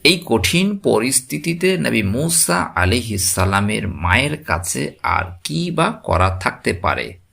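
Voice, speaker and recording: Male narrator, low creaky voice, solemn religious storytelling, measured and emphatic. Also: a bit bright. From West Bengal, India.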